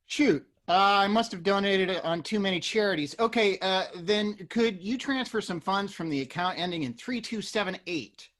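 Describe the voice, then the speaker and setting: An actor playing a sheepish, distressed husband in a comedy table read. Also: male voice, high-pitched, normal speed, few pauses, a bit bright. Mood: panicked.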